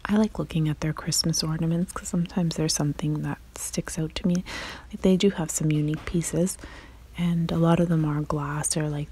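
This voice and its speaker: A woman whispering softly and chattily as she films herself browsing a large craft store on a handheld phone. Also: low voice, steady tone, moderate pace, a little hiss or hum. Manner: soft whispering, chatty and admiring. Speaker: vlogger. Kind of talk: whispered shop-with-me vlog. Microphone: handheld phone camera.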